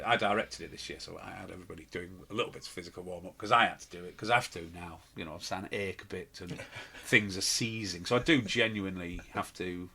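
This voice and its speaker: A man's voice: low voice, vocal fry, sharp tone, breathy edge. A middle-aged man, a pantomime performer telling stories in an interview, rambling with fillers and restarts. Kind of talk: casual interview chat.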